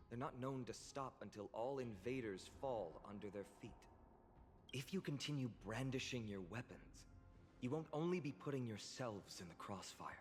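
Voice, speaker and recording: An actor playing a wary, earnest young warrior in a fantasy game, speaking in a formal, measured way. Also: male voice, medium pitch, close to an everyday voice. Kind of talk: scripted fantasy game voice acting. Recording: long reverb with music behind.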